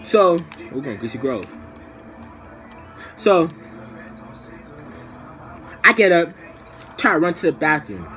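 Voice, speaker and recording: A young man telling a casual, comedic story to camera in an animated, slangy way, acting out the scenes, recorded in a small room at arm's length. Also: a little high, slow, long pauses, soft background music. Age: young adult. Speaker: storytime vlogger.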